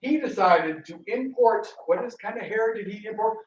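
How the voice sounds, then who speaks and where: A man's voice: sits high, lively intonation, moderate pace, muffled recording. A man speaking casually and ramblingly to an audience, telling stories with teasing asides. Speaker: radio theatre actor and panel speaker. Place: indoor talk in a roomy space. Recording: roomy, distant, noisy live room recording.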